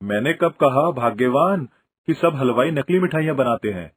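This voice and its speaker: Cartoon role: man as stubborn village father, raspy mid-range voice, close dry sound, wry, wily, argumentative. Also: mid-range voice, lively intonation, normal speed, no room sound. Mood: sly. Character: wily, grumbling village father, stubborn but soft-hearted. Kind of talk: Hindi cartoon moral story dub.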